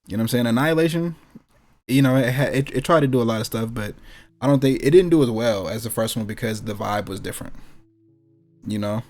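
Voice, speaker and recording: A man's voice: medium pitch, quick pace, darkish tone. An American man co-hosting a gaming show in casual, slangy, profane and enthusiastic chat.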